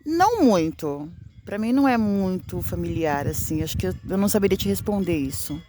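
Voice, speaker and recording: A woman's voice: fairly low pitch, expressive, brisk, over music. A woman in her sixties answering street interview questions casually. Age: 60s.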